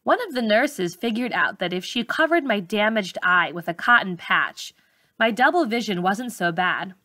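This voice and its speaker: Woman, book narration, bright crisp-edged tone, middle pitch, measured phrasing, dry sound. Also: lively intonation, no room sound. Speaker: teacher reading a book aloud. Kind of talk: audiobook-style narration of a memoir.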